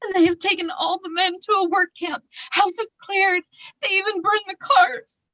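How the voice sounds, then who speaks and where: A woman's voice: extremely high pitch, fairly even intonation, normal speed, pushed and bright, no room sound, poor audio. A woman playing frightened, pleading female refugees in a stage play reading. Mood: desperate pleading.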